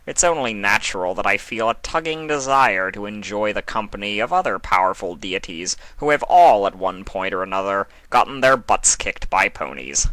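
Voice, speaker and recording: A man's voice: on the low side, expressive, normal speed, hardly any pauses, fairly bright tone, no room sound, poor audio. A man voicing a gleeful, mischievous chaos spirit in a storybook fan fiction reading, theatrical and mocking. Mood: gleefully mocking.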